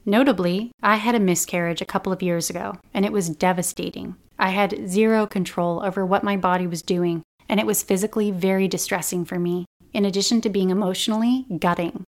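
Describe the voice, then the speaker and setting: A woman in her thirties talks straight to her listeners in a self-help podcast. Her voice is a little raspy and dry today, at a middle pitch. She sounds earnest, gentle and encouraging throughout. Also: steady tone, brisk, few pauses. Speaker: podcast host.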